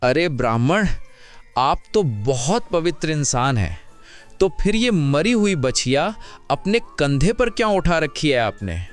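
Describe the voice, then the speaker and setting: A man's voice: a little high, sing-song, a little slow, soft background music. A man voicing a cunning swindler in a children's story, feigning shocked concern. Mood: feigned shock.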